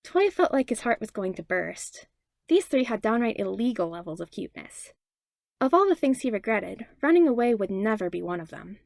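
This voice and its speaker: A woman narrates a piece of fiction from a script. Her voice sits at an average female pitch, and the recording is close and dry, with hardly any room sound. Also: creaky, a little mellow, no room sound. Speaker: podfic reader.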